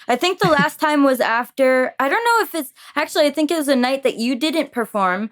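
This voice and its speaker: A woman's voice: high voice, expressive, brisk, a bit bright. A French Canadian woman from Montreal, a native French speaker, chatting casually in English as an interview guest. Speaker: podcast guest, singer-songwriter. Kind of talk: casual chat.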